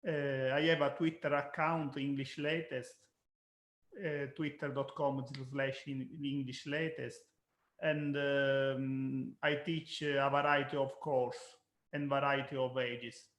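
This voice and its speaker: Man from Italy, slow, flat, fairly high voice, echoey room. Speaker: English teacher. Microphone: video call. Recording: roomy echo on the call.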